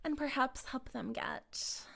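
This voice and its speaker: A woman playing a possessive, self-righteous cult leader in an intimate audio roleplay, cooing and condescending, sometimes breathy, speaking directly to the listener. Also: high voice, lively intonation, slow, pushed and bright, heavily acted. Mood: sweetly menacing. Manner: theatrical, cooing, condescending, drifting into breathy whispers.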